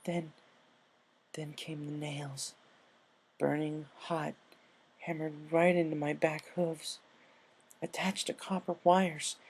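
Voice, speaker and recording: Storybook reading: male narrator playing a broken young pony mare, raised pitch, halting, tearful, haunted delivery. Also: vocal fry, slightly rough, exaggerated voice. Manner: halting, sobbing, stammering delivery.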